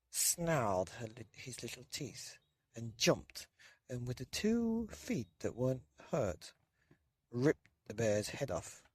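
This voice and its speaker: A man reading a children's bedtime story in a loose, dramatic way with drawn-out emphasis. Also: mid-range voice, breathy edge, no echo. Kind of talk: children's story narration, partly improvised.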